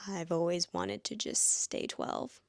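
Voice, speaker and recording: A woman's voice: lowish voice, huge pitch swings, slow pace, vocal fry, no room sound, whispered, studio quality. A woman in her late twenties musing aloud in a casual vlog, rambling with lots of "like" fillers. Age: late 20s. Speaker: vlogger. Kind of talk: reflective casual monologue.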